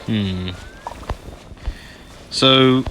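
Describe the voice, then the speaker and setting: A man's voice: on the low side, drawn-out words, bright, forceful tone. A man giving casual, off-the-cuff commentary as he plays through a video game. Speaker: gaming YouTuber. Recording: game music behind commentary. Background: video game music.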